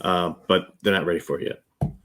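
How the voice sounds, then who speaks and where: Male co-host, middle-aged, on the low side. Loose, chatty review delivery that circles back and repeats words. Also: fairly even intonation, moderate pace, a bit bright. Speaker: co-host of a board game review show. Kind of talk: casual chat, reviewing board games.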